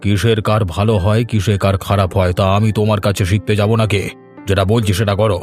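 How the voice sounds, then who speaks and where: A man with a West Bengal accent voicing a scheming, domineering village headman in a cartoon, speaking in a measured, condescending way. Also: on the low side, little pitch movement, a little mellow, soft background music, close to an everyday voice. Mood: stern, threatening. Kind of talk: cartoon voice acting.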